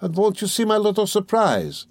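A man's voice: medium pitch, big pitch swings, a little fast, no echo, pitched above the performer's own voice. A man voicing a sly, falsely jovial middle-aged examining lawyer in an audiobook, teasing and ironical. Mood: gleeful. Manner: teasing, chuckling, wheedling, ironical. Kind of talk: dramatic audiobook character reading.

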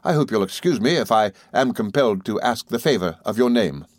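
A man voicing a meek, timid middle-aged country doctor who speaks slowly and apologetically, stammering and adding sir to nearly every phrase. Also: on the low side, expressive, no room sound, studio quality, lightly acted. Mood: meek, fluttered. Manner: slow, apologetic, hesitant, with deferential sirs.

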